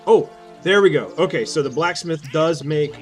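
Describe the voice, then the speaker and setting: A man's voice: fairly high pitch, normal speed. A man hosting a let's play, thinking aloud in a casual, self-deprecating way. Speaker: gaming video host. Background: game music and effects.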